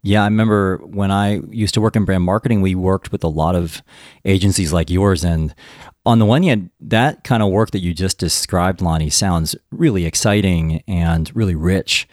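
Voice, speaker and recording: A man hosts an interview in a very deep voice, asking friendly, curious questions. His talk is conversational and easygoing. The sound is dry and close to the mic. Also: normal speed, a little mellow, dry recording. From United States. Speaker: podcast host. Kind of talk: interview hosting.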